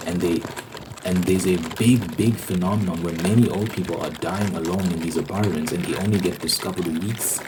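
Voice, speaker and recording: Low-voiced man rambling in ASMR style. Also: background noise. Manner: explanatory, repeats points for emphasis. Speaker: host of a rambling ASMR channel. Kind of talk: ASMR, unscripted opinionated ramble.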